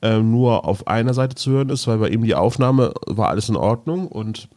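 Lower-pitched man chatting on a podcast. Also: animated, moderate pace, a little mellow. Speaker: podcast host. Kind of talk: casual podcast chat.

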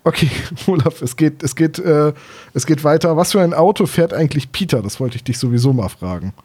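Male podcast co-host, 30s, from Germany, lightly raised pitch, enthusiastic casual banter. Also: fairly quick, mellow, husky.

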